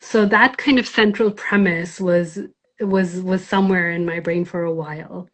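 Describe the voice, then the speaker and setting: A woman from Pakistan talks through her path into writing in a relaxed interview. Her voice sits a little low, and she pauses often, filling the gaps with soft fillers as she thinks aloud. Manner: thoughtful, hesitant, many fillers. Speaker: novelist guest. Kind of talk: casual interview talk.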